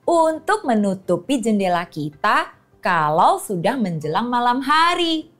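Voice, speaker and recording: Woman storyteller for kids, unhurried and bright-voiced with a very lively pitch, soft music underneath. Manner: animated, exclaiming, addresses children warmly. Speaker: children's storyteller and host. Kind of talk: cheerful storytelling and riddles for young children.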